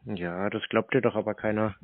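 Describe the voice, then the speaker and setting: A man's voice: on the low side, fairly even intonation, brisk. A man on a phone call with a scam caller, speaking in a dry, curt, skeptical way. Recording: tinny phone line.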